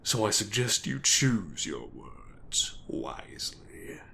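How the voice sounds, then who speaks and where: A man acts out a coolly formal mob boss for audio drama. He speaks slowly in a low but forceful, sharp-edged voice, measured and quietly threatening. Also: big pitch swings, creaky, whispered, heavily acted. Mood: cold authority. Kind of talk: dramatic audio role-play acting.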